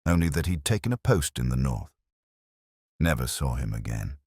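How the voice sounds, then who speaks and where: Indifferent solicitor, voiced by a male narrator, very low, clipped and offhand, with swooping intonation. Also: very animated, normal speed, darkish tone, slightly rough, no echo, clean studio sound, heavily acted. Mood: offhand, vague.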